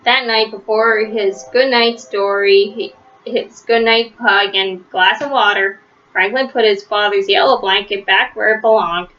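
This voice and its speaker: A woman reading a children's storybook aloud as narrator, in an expressive style. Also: mid-range voice, unhurried. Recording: slightly dull, lo-fi home recording.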